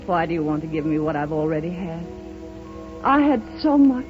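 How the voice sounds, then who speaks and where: A woman's voice: sits low, very animated, moderate pace. An actress playing a serene, rapturous bedridden blind woman in a 1930s radio drama, speaking with lyrical, theatrical fervor. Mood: rapturous, insistent. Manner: theatrical, impassioned, lyrical declamation. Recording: muffled 1930s radio broadcast, loud music.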